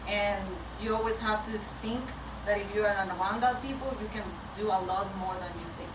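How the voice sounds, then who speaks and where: A woman from Venezuela with a Venezuelan Spanish accent gives an informal, candid personal talk to a meetup audience, speaking a bit haltingly and nervously. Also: mid-range voice, steady tone. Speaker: community track lead speaker. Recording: echoey noisy room, distant microphone.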